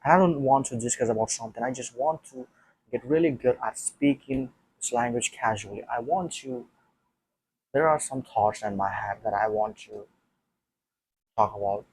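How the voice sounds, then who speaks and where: A man's voice: mid-range voice, normal speed, a little mellow, slight background noise, low-quality recording. A non-native English-speaking man talking alone to a camera about learning the language, thinking aloud with many restarts and repeated phrases. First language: not English. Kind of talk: casual solo talk to camera.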